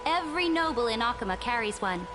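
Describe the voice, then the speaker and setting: A woman voicing an imperious, haughty female emperor in a video game, with a grand, measured, condescending manner. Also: a little high, lively intonation, music in the background, natural voice. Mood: regal, authoritative. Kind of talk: dramatic video game voice acting.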